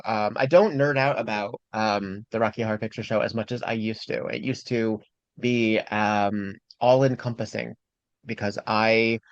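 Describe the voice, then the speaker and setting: A man in his forties hosting a podcast, talking in an animated, chatty way and laughing easily. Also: mid-range voice, no room sound. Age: 40s. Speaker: podcast host. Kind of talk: casual interview chat. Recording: dull lo-fi dry sound.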